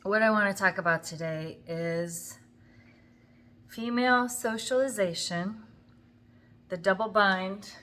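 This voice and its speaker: A woman's voice: mid-range voice, slow, pauses often, bright, forceful tone. An older woman vlogging from her home kitchen, telling a rambling story in a chatty, wry way with plenty of asides. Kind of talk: casual talking-to-camera story.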